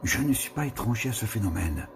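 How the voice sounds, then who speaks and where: A man giving a slow, musing reading of a literary text, with pauses and whispered asides. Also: fairly low pitch, sing-song, creaky. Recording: reading with music behind. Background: music.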